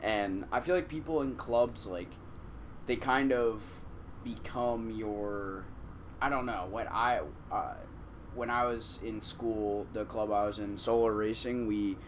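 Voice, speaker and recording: A young man co-hosting a casual podcast, talking haltingly with many fillers and restarts, teasing and fond. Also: fairly low pitch, steady tone, slight background noise. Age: young adult. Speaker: podcast co-host. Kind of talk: casual chat.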